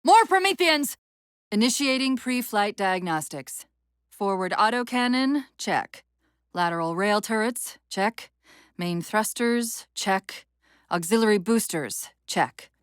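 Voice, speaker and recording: A woman playing a brisk, wry, urgent military AI companion in a video game, giving crisp commands and tactical briefings. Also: mid-range voice, lively intonation, dry recording, clean studio sound. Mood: urgent, alarmed.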